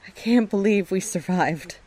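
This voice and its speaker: A woman's voice: mid-range voice, normal speed, dark tone, no echo, close to an everyday voice. A woman playing a wry, brash young survivor in a horror audio drama. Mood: relieved, wry.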